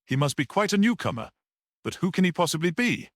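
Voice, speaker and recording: Man voicing a haughty lady, pitched up high. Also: sing-song, brisk, dry recording, clean studio sound. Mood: scandalised, disdainful. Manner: exclaiming, disdainful. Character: haughty, acidly elegant middle-aged wife, easily scandalised.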